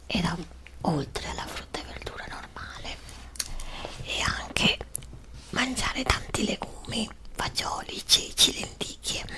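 A woman whispers slowly, close to the microphone. She plays a doctor in an ASMR roleplay, giving instructions to a patient in a gentle, hesitant way. Her delivery is hushed and unhurried throughout. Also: mid-range voice. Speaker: ASMR performer playing a doctor. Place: small indoor setup with dark backdrop. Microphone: desktop condenser microphone, close up.

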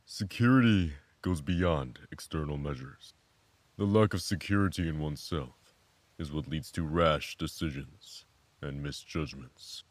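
A man playing a calm, grave, philosophical mentor in an audio drama, speaking in a measured, contemplative way. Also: very deep voice, no room sound.

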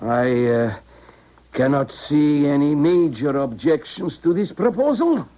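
Wary commie colonel in an old radio play, formal, measured, voice swings up and down, dull sound. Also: male voice, fairly high pitch, lively intonation. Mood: stern, wary. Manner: formal, measured, clipped phrasing. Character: stern, formal Eastern Bloc colonel, wary handler. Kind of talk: 1970s radio drama acting. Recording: muffled old radio broadcast.

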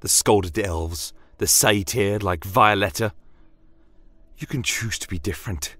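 Inquisitor, acted by a man: measured, principled peacemaker, low voice, unhurried, fantasy audio drama. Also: bright, forceful tone, no echo. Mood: pleading, hopeful. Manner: measured, earnest, reasoning. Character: calm, principled male church inquisitor, peacemaker.

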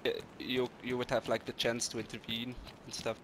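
A man from Switzerland with a Swiss accent, chatting casually in slightly halting non-native English during an online game. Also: mid-range voice, monotone, fairly bright tone. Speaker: video game player. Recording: faint noise over game voice chat.

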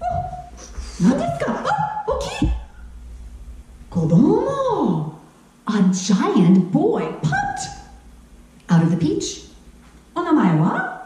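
A middle-aged woman playing a kindly, excitable Japanese grandmother, telling a children's story theatrically and addressing the audience. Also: very high voice, takes it slowly, pauses often, slight background noise, voice pushed up, exaggerated voice. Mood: excited, fond. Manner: exclamatory, animated, addressing the audience directly. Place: living room at home. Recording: very echoey room, faint music.

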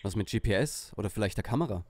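A fairly low-voiced, cocky teen sleuth acted by a man, dry and smug. He mocks his way through a parody detective radio play. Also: expressive, very fast, darkish tone, no echo. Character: cocky, mocking teenage boy detective leader Tim. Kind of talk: parody detective audio drama.

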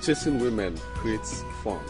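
A man's voice: lowish voice, expressive, unhurried, music in the background. A man playing a young, teasing husband in a film drama, with a Nigerian accent and an easygoing, explanatory way of talking. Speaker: actor.

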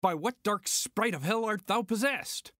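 A man's voice: sits high, expressive, measured pace, pushed and bright, no room sound, clean studio sound, full character voice. A man playing a cocky, irritable smuggler with sardonic delivery in a Shakespearean dramatic reading. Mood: irritated.

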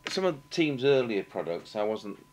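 A British man giving a halting, matter-of-fact technical demonstration, thinking aloud as he works at a workshop bench. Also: mid-range voice, sing-song. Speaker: radio repair technician demonstrating. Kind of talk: unscripted technical demonstration commentary. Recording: clear background noise and hum.

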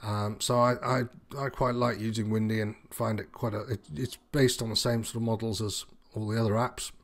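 Low-voiced man explaining informally. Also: flat delivery, moderate pace. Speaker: sailing instructor presenting tutorial. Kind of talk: informal app walkthrough, unscripted explanation.

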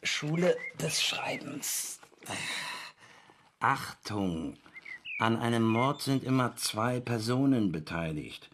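Grumpy village cop writing his novel out loud, radio play, very swoopy pitch, keeps correcting himself. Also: sing-song, noisy background. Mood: grumpy, dramatic. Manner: halting, self-correcting, pompous literary dictation.

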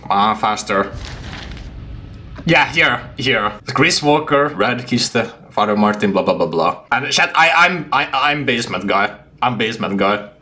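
A man's voice: on the low side, lively intonation, unhurried, sharp tone. A man in his twenties giving hyped live stream commentary, often repeating his phrases. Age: 20s. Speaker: game streamer. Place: small home room with kitchen. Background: music.

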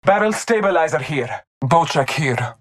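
Video game hunter warrior, slightly high pitch, solemn, devout, formal ceremonial phrasing, short callouts. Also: male voice, animated, normal speed, a bit bright, slight background noise. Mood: terse, alert.

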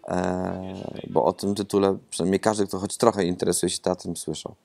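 A man's voice: low voice, level tone, normal speed, vocal fry, darkish tone. A man in his thirties, a theatre director, giving rambling, thoughtful interview answers with many hesitations and repeated words, seated in an empty theatre auditorium and speaking into a lapel mic.

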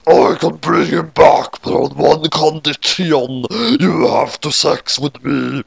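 A man voicing a huge, lecherous death-god king in a slow, drawn-out, altered voice for a fan story. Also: on the high side, fairly even intonation, vocal fry, husky, exaggerated voice. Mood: menacing. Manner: slow, deliberate.

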